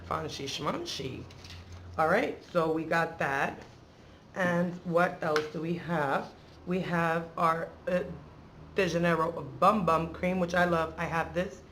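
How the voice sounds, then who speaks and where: A woman's voice: lowish voice, slow pace, fairly bright tone, slight background noise. A woman hosting a beauty vlog in a casual, chatty, slangy way, wandering into playful asides. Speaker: beauty vlogger and channel host.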